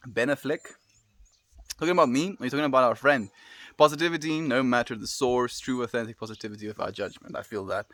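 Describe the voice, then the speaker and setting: Relaxed South African man musing on stream. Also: medium pitch, quick pace, no room sound. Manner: relaxed, musing, frequent soft laughs. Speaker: livestream host. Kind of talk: casual live-stream chat reading viewer comments.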